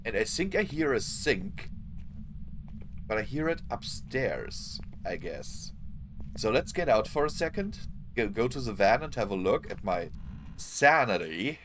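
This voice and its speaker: Hoarse guy streaming a game, talks to chat a lot, voice bounces all over, bit of hiss behind. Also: medium pitch, very animated, unhurried. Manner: apologetic, chatty, talks to chat. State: hoarse, losing his voice. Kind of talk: live gameplay commentary.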